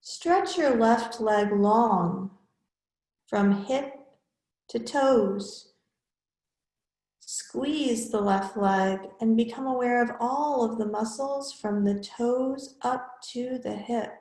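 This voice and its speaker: Slow-paced woman guiding relaxation, roomy sound. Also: fairly high pitch, darkish tone. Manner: measured, instructional, with pauses between short phrases. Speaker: yoga teacher. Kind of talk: guided yoga nidra relaxation.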